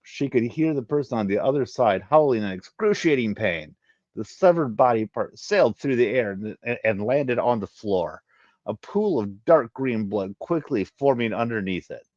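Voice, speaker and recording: A man reading a sci-fi adventure story aloud in a halting way, stumbling and repeating words. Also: medium pitch, lively intonation, darkish tone, no room sound, highs cut off. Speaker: reader of a web story, channel host.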